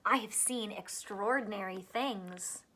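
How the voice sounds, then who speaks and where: A woman voicing an excited, boastful young male frog in a storybook, with an emphatic, showy delivery. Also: medium pitch, slow. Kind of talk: storybook read-aloud character voice.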